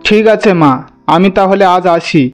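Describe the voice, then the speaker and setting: A man voicing a devoted young husband and dutiful son in a cartoon, earnest and gentle. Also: high voice, normal speed, lightly acted. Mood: polite. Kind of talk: Bengali moral-story cartoon voice acting. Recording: music bed under the voice.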